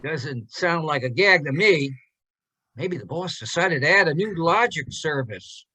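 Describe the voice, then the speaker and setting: An actor playing a gruff, jokey married workman with an American accent and folksy slang, in a radio drama. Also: a little high, animated, unhurried, bright, forceful tone, no echo, exaggerated voice. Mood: curious, jokey. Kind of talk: old-time radio drama acting.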